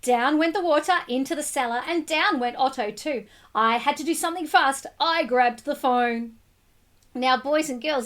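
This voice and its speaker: Woman, children's storybook reader. High-pitched, very animated, explains things simply and directly to young listeners. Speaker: teacher reading a picture book aloud to children. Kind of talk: animated storybook read-aloud with asides to children.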